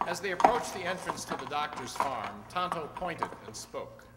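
A man's voice: fairly high pitch, expressive, moderate pace, noisy background, close to an everyday voice. A man giving scripted old-time radio narration as narrator on a hall stage. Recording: very echoey hall stage recording.

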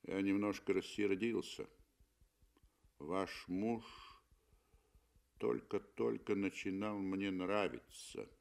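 A man's voice: low voice, takes it slowly, vocal fry, pauses often, darkish tone, full character voice. A man voicing a cool, indifferent hunter with a dry, curt, detached manner in a radio story. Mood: cold, mocking.

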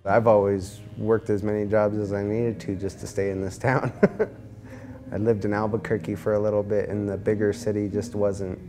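A man in his thirties chats in an easygoing interview style. His voice is fairly low and quite flat in melody, with music clearly playing behind it. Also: normal speed. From New Mexico, USA. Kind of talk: casual documentary interview. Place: barbershop.

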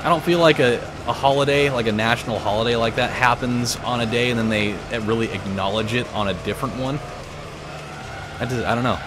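A man hosting a gaming video, telling stories in a casual, animated way with swearing. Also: medium pitch, a little fast, background noise. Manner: animated storytelling with profanity. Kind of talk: casual chat over a racing game. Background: loud game music and car engines.

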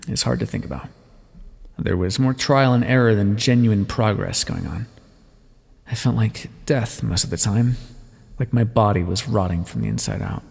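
A man playing a bitter, wry vampire and former rebel leader, confiding his past in an ASMR roleplay with a gravelly, husky voice. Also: lowish voice, darkish tone. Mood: pained, bitter. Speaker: audio roleplay performer. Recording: long reverberant echo.

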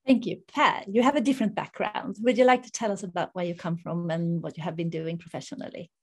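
A middle-aged woman with a Swedish accent, formally introducing an online seminar as its host in a measured way. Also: on the low side, fairly even intonation, slightly rough. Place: indoors in front of a dark backdrop, video call.

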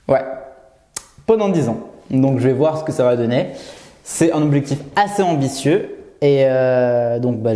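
Young guy vlogging, mellow voice, lots of euhs and restarts, echoey little room. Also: medium pitch. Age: 20s. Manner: hesitant, many fillers and restarts. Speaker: YouTuber. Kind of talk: unscripted vlog talking to camera. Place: small bare room indoors, seated. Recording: roomy echo.

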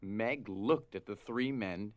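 A man's voice: lowish voice, takes it slowly, no room sound. A man narrating a scripted dramatic story. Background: music.

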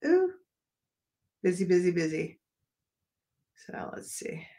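A woman's voice: fairly low pitch, big pitch swings, slow, dark tone. A middle-aged woman chatting casually with viewers on a live art stream, rambling and talking straight to them, losing her voice a little. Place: home art studio, indoors.

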